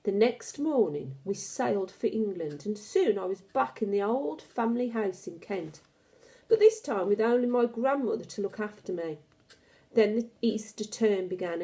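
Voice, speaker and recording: A woman reading a children's novel aloud as an expressive, dramatic and deliberate storyteller. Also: medium pitch.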